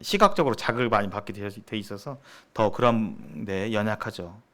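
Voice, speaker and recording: A man's voice: lowish voice, brisk, sharp tone. A man giving a sermon-like seminar lecture as a preacher, speaking emphatically and repeating phrases for stress. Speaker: preacher leading a church seminar.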